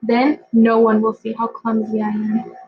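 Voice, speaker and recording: A woman voicing an earnest, unsure little bat girl in a squeaky, plaintive storybook voice. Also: completely flat delivery, unhurried. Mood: eager, determined. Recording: muffled audio with music.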